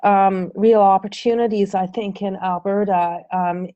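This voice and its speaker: A woman's voice: mid-range voice, fairly even intonation, a little slow, warm tone, no echo, muffled recording. A woman presenter answering audience questions in a webinar, speaking with many ums, uhs and restarts.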